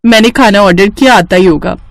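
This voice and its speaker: A woman's voice: medium pitch, a little fast, vocal fry, a little hiss or hum, lightly acted. A woman voicing a sweet, shy and anxious young woman in a family story. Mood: friendly.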